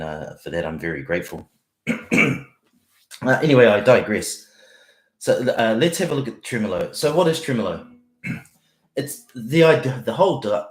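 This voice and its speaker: A man talks guitar on an early-morning livestream, his low voice croaky and broken by throat-clearing. He wanders through fillers and restarts in a friendly, unhurried way. The small room around him adds a noticeable echo. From New Zealand. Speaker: guitar teacher. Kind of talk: casual livestream lesson. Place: small home office.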